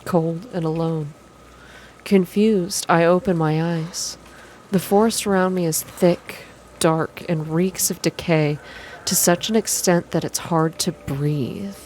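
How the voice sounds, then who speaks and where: A woman reading a visual novel game aloud in an intimate ASMR style, soft and expressive as she hosts her playthrough. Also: lowish voice, takes it slowly, slight background noise, low-quality recording. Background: game music.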